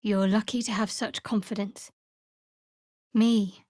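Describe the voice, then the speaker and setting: A young female cleric of a dark goddess for a fantasy video game. She is wry and secretive, speaking in a measured, guarded way. The sound is dry and close, with no room around it. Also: medium pitch, flat delivery, no echo. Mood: somber, confiding.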